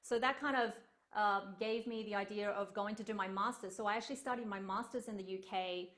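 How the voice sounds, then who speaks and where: A woman's voice: mid-range voice, fairly even intonation, a little fast, a bit bright. A young woman giving an online lecture as a cellist, chatty and earnest, with frequent ums, over a video call. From Australia. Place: home room on a video call.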